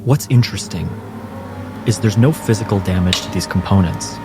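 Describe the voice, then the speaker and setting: A man narrating a tabletop game as game master in a measured storytelling way. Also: low voice, heavy background noise. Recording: music bed under narration.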